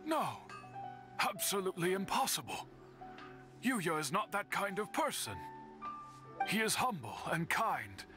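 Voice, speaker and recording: An actor playing an earnest, dignified swordsmith in a video game, speaking in a formal, measured way. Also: male voice, sits high, very animated. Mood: shocked, defensive. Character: earnest, dignified male master swordsmith, troubled uncle. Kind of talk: scripted video game voice acting. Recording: game music behind the voice.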